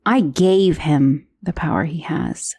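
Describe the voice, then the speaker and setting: Woman doing a protective vampire lover for asmr roleplay, husky n breathy, bit of creak, self-assured, intimate. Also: deep voice, lively intonation, slow pace, a little mellow, dry recording, studio quality, exaggerated voice. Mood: cold, commanding. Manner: intimate, soothing, often dropping to a breathy whisper. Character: ancient powerful female vampire, protective, self-assured, tenderly possessive lover.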